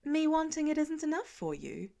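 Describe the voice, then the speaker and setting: A woman voicing a calm, patient grown woman in a fan audiobook reading, speaking evenly with a dry edge. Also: high-pitched, normal speed, vocal fry, voice pushed up, exaggerated voice.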